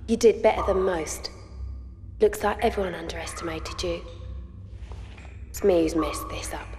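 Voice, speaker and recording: An actress playing a cool, self-reproaching young thief in a video game, dry and downbeat, with a British accent. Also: female voice, lowish voice, moderate pace, a crowd in the background, lightly acted. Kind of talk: video game cutscene acting. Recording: long echoing reverb.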